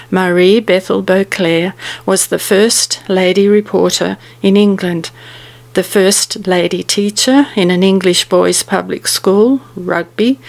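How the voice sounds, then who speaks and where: A woman in her seventies reading a prepared commemorative speech aloud in a formal, carefully worded manner. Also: mid-range voice. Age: 70s. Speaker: speaker giving a commemorative speech.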